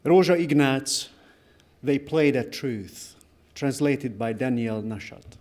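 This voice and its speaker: A man reads a literary passage aloud with measured, dramatic phrasing. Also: medium pitch, a little mellow. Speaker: reader at a book launch.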